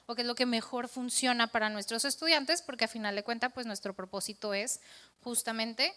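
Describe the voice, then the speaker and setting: Bright-voiced woman presenting a workshop. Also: on the high side, a little fast. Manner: explanatory, asks "¿va?" to check in.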